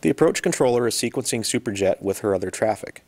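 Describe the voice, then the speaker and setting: A man reading scripted educational narration about procedures in a measured, explanatory manner. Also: lowish voice, animated, background noise. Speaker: narrator of an aviation training video.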